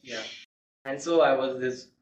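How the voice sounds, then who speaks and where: A young man from India, a guest telling his personal story in a measured, reflective way. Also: medium pitch. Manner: measured, reflective, with soft laughs. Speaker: guest, law student. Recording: echoey, distant, muffled.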